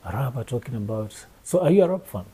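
Guy asking the questions, kinda husky mellow voice, chatty and curious. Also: mid-range voice, big pitch swings, quick pace. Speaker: interviewer. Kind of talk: casual conversation.

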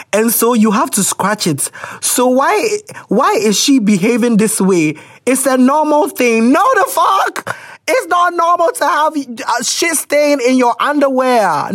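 A woman with a Ghanaian English accent hosting a podcast, reading out listener letters in a loose, swear-heavy, laughing way. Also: high voice. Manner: laughs a lot, swears, animated reactions. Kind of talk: casual chat.

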